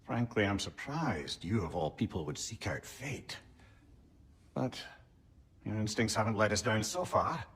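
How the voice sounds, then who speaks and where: An actor playing a frank, wry, supportive companion in a video game, candid and colloquial. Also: male voice, fairly low pitch, lively intonation, measured pace, a bit bright, breathy edge, exaggerated voice. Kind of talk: dramatic video game acting.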